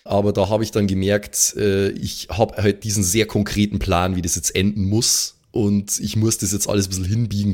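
A man's voice: sits low, darkish tone. A man with an Austrian accent talking casually and reflectively as a game master, dropping English gamer slang into his German. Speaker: tabletop game master and podcast regular.

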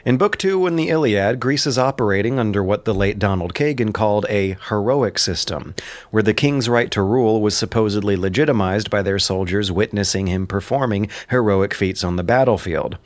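A man with a fairly deep voice presents a scripted literary commentary. He sounds learned and enthusiastic, leaning firmly on his points. The recording is dry, with very little room sound. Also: a little fast, dry recording.

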